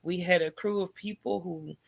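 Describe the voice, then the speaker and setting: A woman's voice: fairly low pitch, fairly even intonation, moderate pace, creaky, no echo. A middle-aged woman telling her own story as a podcast guest, candid and informal. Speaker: podcast guest, small business owner. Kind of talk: conversational personal storytelling.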